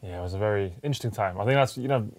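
A man's voice: on the low side, racing. A man co-hosting a podcast interview, chatting casually with the guest and peppering his speech with "like". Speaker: podcast co-host.

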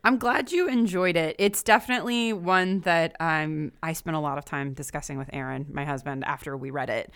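A woman's voice: low voice, moderate pace, few pauses, a bit bright, clean tone, dry recording, studio quality. A woman guesting on a podcast, chatting casually and with enthusiasm about books. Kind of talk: casual book discussion chat. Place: remote call.

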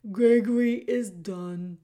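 A woman voicing an odd old male jailer who speaks of himself in the third person, loud and drawn out. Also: natural voice. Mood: grandly. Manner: loud, drawn-out, booming pronouncements.

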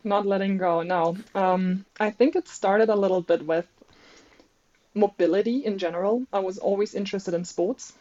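A woman's voice: mid-range voice, moderate pace, mellow, dull audio. A woman from Germany with a German accent, a native German speaker, talking casually as a researcher guest in a good-humoured, self-deprecating way. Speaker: podcast guest, researcher and PhD candidate. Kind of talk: casual interview talk.

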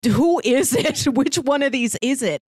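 A woman's voice: high voice, animated, measured pace, slightly rough, dry recording, clean studio sound. A woman talking casually as a podcast co-host, recapping a story in a conversational way. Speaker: podcast co-host discussing an audio drama.

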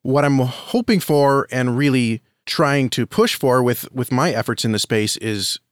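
Dry-sounding American man, slightly low-pitched. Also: measured pace, no room sound. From United States. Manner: earnest, enthusiastic. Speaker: podcast guest, audio drama reviewer and podcaster. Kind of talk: conversational interview answers.

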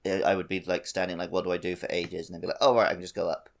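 A man's voice: low-pitched, flat delivery, rapid-fire, gravelly. A man co-hosting a casual gaming podcast, talking conversationally and acting out his own thoughts as he goes. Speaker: podcast co-host. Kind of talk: casual game chat.

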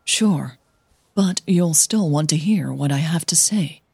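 An earnest, cautioning female coach, as a woman narrator plays her in an audio drama. She is hesitant but persistent, measured and persuasive, with a low, husky voice. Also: a little mellow, dry recording, exaggerated voice. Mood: insistent.

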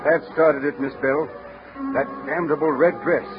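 A blustery older Southern general in an old radio play. He is pompous and courtly, speaking fairly high with a Southern American accent. The recording is muffled, with music behind. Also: fairly even intonation, measured pace. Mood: regretful, grumbling. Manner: pompous, courtly, punctuated with "sir". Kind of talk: vintage radio drama acting. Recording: muffled old broadcast over loud music.

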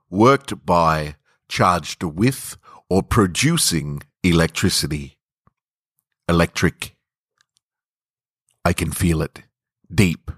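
A man's voice: deep voice, a bit bright, no room sound, clean studio sound. A man hosting a podcast and reading their own short fiction. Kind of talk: casual podcast introduction, then slow narrated fiction reading.

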